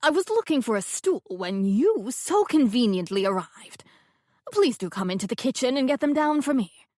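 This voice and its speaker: A woman's voice: high-pitched, sing-song, normal speed, a little mellow, no echo, studio quality, voice pushed up. A woman voicing a haughty, bossy older lady who commands everyone politely. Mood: sweetly bossy. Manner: imperious, sweetly manipulative.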